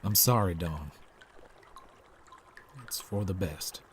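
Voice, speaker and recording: A man's voice: sits low, takes it slowly, slight background noise. A man acting a reluctant, caring leader of an underground shelter in an audio drama, hesitant and subdued. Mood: regretful.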